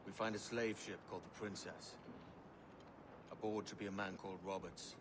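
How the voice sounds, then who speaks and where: An actor playing a hardened young pirate captain who speaks bluntly and curtly. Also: male voice, low voice, steady tone, normal speed, noisy background, natural voice. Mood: grim, low. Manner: blunt, curt and commanding. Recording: game score under the voice.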